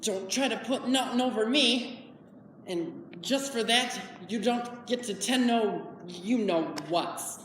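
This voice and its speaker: A woman's voice: a little high, slow. A woman playing a simple-minded, anxious farmhand man on stage, with plaintive rustic speech. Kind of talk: dramatic stage monologue. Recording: echoey hall, far from mic, noisy.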